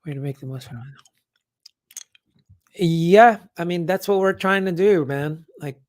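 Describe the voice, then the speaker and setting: A man with a hoarse, worn-out voice hosting a casual live Q&A, rambling with lots of fillers and restarts as he answers comments. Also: on the high side, measured pace, no room sound. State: hoarse, losing his voice. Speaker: event organizer hosting a live update. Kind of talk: casual livestream Q&A.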